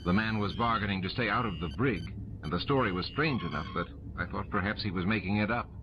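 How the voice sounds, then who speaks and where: Man playing a calm low-voiced station commander. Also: fast talker, dull audio, noisy background, close to an everyday voice. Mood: measured.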